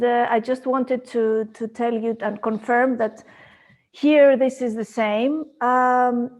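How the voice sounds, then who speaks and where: This is a woman, talking in a measured, unhurried way. Her voice sits a little high, and she often stops to search for a phrase. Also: vocal fry, a little mellow. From Greece. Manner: thoughtful, searching for words. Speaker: guest. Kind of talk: video call chat.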